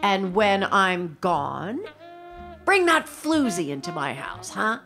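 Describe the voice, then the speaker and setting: A woman's voice: mid-range voice, big pitch swings, takes it slowly, gravelly, fairly bright tone, faint music behind, heavily acted. An actress playing a demanding, melodramatic, complaining middle-aged mother in a radio drama, nagging and querulous. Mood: bitter, accusing. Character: demanding, complaining, possessive mother of a grown son.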